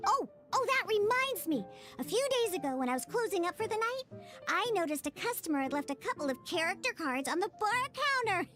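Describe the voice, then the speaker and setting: Very high-pitched perky young cat-girl bartender. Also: a little fast, over music. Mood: thoughtful, helpful. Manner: animated, expressive, exclaiming. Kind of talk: video game tutorial dialogue.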